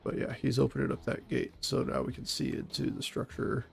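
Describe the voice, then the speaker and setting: Gravelly stuffy man explaining game tactics. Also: mid-range voice, fairly quick, soft background music. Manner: calm, methodical explaining of tactics. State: stuffy from a cold. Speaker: gaming channel host and SWAT operator. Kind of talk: tactical gameplay commentary.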